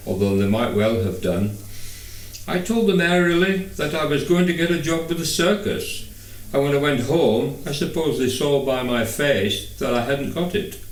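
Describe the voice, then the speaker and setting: Guy reading an audiobook story, mid pitch, bit far from the mic, roomy echo. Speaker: audiobook reader. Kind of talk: scripted first-person novel narration. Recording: echoey room, distant microphone.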